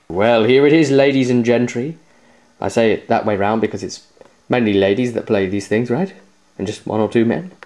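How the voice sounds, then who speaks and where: A man's voice: mid-range voice, darkish tone. Playful man reviewing a game. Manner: playful, jokey, theatrical flourishes, chatty. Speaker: host of a tabletop game review show. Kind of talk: casual unboxing review to camera.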